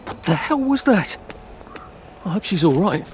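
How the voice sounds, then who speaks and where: A man's voice: sits high, very animated, measured pace, faint music behind. An actor playing a mild, awkward English man in a game cutscene, hesitant, fussy and dryly sarcastic. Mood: exasperated. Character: mild, awkward, exasperated everyman trying to escape. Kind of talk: video game cutscene acting.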